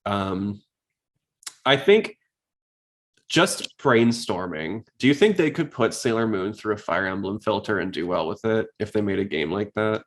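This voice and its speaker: A man's voice: fairly low pitch, animated, measured pace. A man hosting a casual podcast, talking in an informal, rambling way. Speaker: podcast host.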